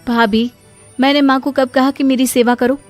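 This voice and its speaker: Woman doing a young teacher who's sick of marriage talk, high voice, soft music. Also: little pitch movement, normal speed, natural voice. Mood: defensive. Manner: defensive, exasperated. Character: unmarried schoolteacher woman around thirty, defensive. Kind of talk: cartoon voice acting.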